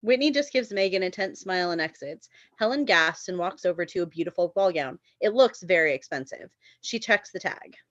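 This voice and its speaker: A woman's voice: on the low side, lively intonation, brisk, hardly any pauses, fairly bright tone, no echo, muffled recording. A woman narrating a screenplay's stage directions for a table read.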